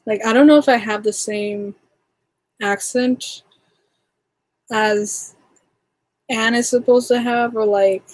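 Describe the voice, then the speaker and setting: A woman's voice: on the high side, steady tone, slow pace, long pauses, dry recording, low-quality recording. Chatty young Canadian woman, dry sound. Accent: Toronto Canadian. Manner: chatty, rambling, many fillers and restarts. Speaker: livestream host. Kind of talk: casual livestream chat.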